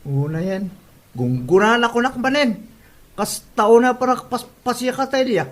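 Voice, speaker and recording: A man's voice: extremely high pitch, lively intonation, moderate pace. A man playing a nosy, gossipy Ilocano neighbour in a comedy audio drama. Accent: Filipino, Ilocano.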